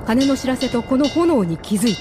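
A woman's voice: high voice, sing-song, a little fast, music in the background. A woman narrating a radio drama as a scripted, dramatic story.

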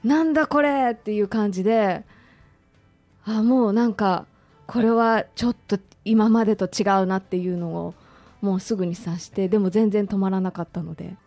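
A woman's voice: mid-range voice, normal speed, mellow, dry recording, soft background music. A woman talking conversationally as a radio guest, politely and expressively sharing personal recollections.

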